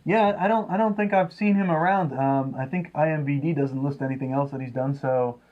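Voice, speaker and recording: Higher-voiced man, hesitant casual review co-host. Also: fairly quick, muffled recording.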